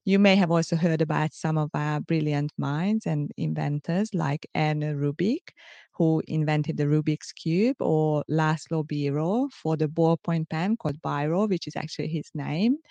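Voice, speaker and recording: A middle-aged woman with a Hungarian accent, hosting a solo podcast in a measured, earnest, explanatory way. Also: sits low, fairly even intonation, warm tone, dry recording. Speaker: podcast host. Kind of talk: solo podcast monologue, semi-scripted.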